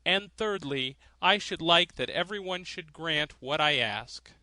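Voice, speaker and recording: A man's voice: a little high, animated, measured pace, pushed and bright, no echo, exaggerated voice. A man voicing a merry, cunning countryman in a fairy tale, with a hearty, bold manner. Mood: cheerful.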